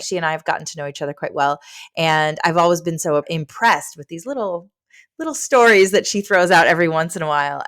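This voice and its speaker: A woman's voice: fairly low pitch, a bit bright, clean tone. A woman hosting an education podcast, introducing and interviewing her guest in an enthusiastic, encouraging way. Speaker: podcast host.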